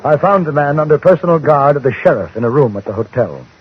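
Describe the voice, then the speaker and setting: A reporter narrating a Western radio drama. His voice is grave, composed and measured. The old recording is dull, with music behind. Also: a little high, lively intonation, slightly rough. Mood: grave, reflective. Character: composed English newspaper correspondent adventuring in the Old West. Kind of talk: 1950s radio drama, first-person narration.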